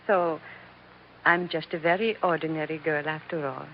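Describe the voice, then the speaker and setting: A woman's voice: low-pitched, steady tone, moderate pace. An actress playing a romantic, lonely young woman with a coy, eager manner in 1940s radio drama style. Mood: coy, warm. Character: romantic, lonely, modest young plantation heiress. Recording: muffled, noisy old radio broadcast.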